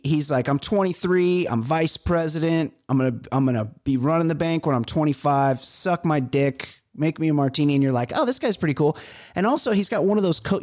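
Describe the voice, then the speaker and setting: A man from California co-hosting a comedy podcast, chatting casually and telling rambling stories full of fillers. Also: fairly high pitch. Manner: rambling, animated storytelling with many fillers. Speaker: podcast co-host. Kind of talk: casual chat.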